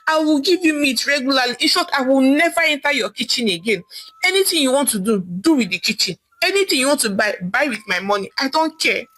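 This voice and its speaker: A man's voice: very high voice, expressive, measured pace, sharp tone, pitched above the performer's own voice, full character voice, low-quality recording. A woman voicing a pompous jailed man with a Nigerian accent, whiny and pleading with his wife.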